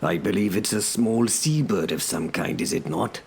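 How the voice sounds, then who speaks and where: A man voicing an unruffled knight who feigns confusion with dry, deliberate politeness in an audiobook. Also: on the low side, expressive, gravelly, a little hiss or hum, pitched above the performer's own voice. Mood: feigned confusion.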